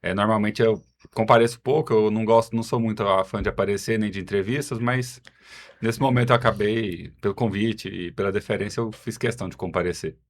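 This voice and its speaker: A man's voice: on the low side, steady tone, a little fast. A man with a Goiás Brazilian accent giving casual interview answers, self-deprecating and wandering into explanatory asides. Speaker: podcast guest, city health secretary.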